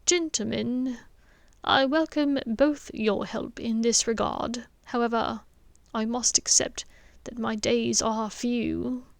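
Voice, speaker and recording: A gentle, grave old wizard speaks in slow, measured, softened tones, read by a woman. The pitch sits on the higher side and the pace is very unhurried. The recording is dry and close. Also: steady tone, creaky, dry recording. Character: aged male wizard headmaster, gentle and grave.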